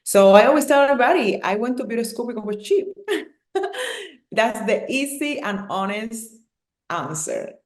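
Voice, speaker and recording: A woman's voice: fairly high pitch, very animated, normal speed, echoey room. A woman from Cuba with a Cuban Spanish accent, speaking candidly as a podcast guest and often laughing. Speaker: guest, hairstylist and educator. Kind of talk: casual podcast interview.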